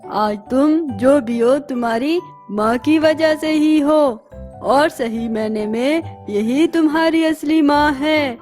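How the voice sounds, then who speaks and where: A woman voicing a greedy, scheming witch in a children's horror storybook, with a drawn-out, deliberate delivery. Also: sits high, darkish tone, faint music behind. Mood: solemn, confessing. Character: greedy scheming female witch hungry for youth.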